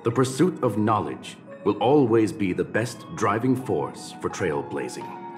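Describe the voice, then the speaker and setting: A man playing a courteous, scholarly mechanical gentleman in a video game, speaking in a formal, measured and philosophical way. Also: lowish voice, big pitch swings, music in the background. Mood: reflective, courteous. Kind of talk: scripted game dialogue.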